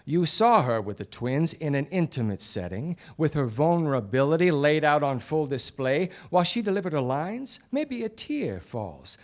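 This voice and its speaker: A confident 1940s radio gumshoe, laying out accusations with theatrical relish. His pitch sits a little high and swings widely through slangy monologues. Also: very animated, normal speed, few pauses. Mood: accusing. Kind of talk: 1940s radio play acting. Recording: live radio play before studio audience.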